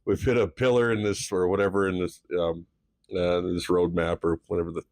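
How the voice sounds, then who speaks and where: Low-voiced rambling man in his thirties. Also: level tone, a little fast, darkish tone, no echo. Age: 30s. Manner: rambling, fumbles words, laughs at himself. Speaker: podcast co-host. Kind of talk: casual chat.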